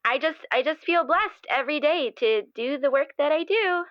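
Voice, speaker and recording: A woman playing an earnest, gushing playwright in an improvised audio drama, speaking in a halting, effusive way as if anxious to please. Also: high voice, expressive, no echo, highs cut off. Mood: gushing, devoted. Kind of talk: improvised actual-play interview acting.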